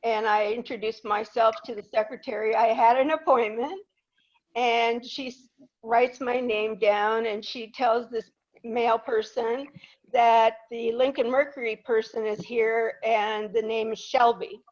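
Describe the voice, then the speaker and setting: Slow-talking older woman telling stories. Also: fairly high pitch, steady tone, lo-fi sound. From Peru. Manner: humorous, chatty, self-deprecating. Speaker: guest speaker. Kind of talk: anecdotal storytelling to a live audience.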